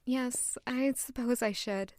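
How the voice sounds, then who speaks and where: Actress as shy young woman, highish voice, fond, audio drama, dry close sound. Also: slow pace, darkish tone, dry recording. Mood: tender, hopeful.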